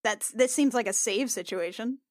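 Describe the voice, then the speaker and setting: A woman's voice: fairly high pitch, moderate pace, dry recording. Casual woman player in a dry recording. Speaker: tabletop game player. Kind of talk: casual table talk.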